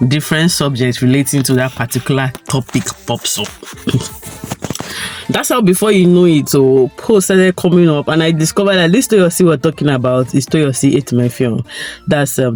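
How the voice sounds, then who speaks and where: A Nigerian woman with a croaky, tired voice, giving her opinions in a chatty vlog and pushing her points with rhetorical questions. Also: sits low, darkish tone. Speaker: vlogger.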